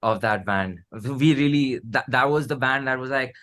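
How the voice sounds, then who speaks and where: A man with an Indian accent and a bright, forward voice of middling pitch. He talks casually and candidly as a musician guest, often repeating himself. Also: moderate pace. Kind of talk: casual chat.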